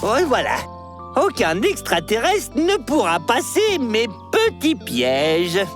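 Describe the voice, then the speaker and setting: An actor voicing an excitable, paranoid, bossy young dinosaur in a children's cartoon, with a frantic, dramatic delivery. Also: mid-range voice, slow, music in the background. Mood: sulky, grumbling. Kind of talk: animated children's series voice acting.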